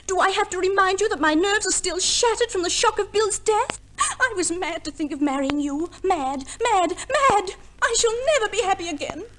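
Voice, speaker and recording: Spoiled posh-acting wife in an old radio play, super high pitched, gushy n dramatic, poor-me type. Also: female voice, lively intonation, normal speed, few pauses, a bit bright, slight background noise. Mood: wounded, melodramatic. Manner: affected, gushing, dramatic. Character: vain, theatrical, self-pitying young society wife.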